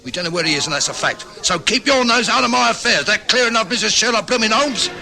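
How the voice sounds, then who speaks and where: An actor playing a belligerent, stubborn husband in an old radio drama. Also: male voice, high voice, animated, fast, gravelly, a crowd in the background. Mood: hostile.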